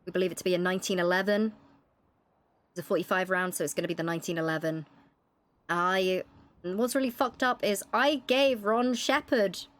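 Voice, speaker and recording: A woman in her thirties roleplaying a police chief, swearing freely and laying out worried explanations at length. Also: mid-range voice. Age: 30s. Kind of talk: in-character roleplay chat.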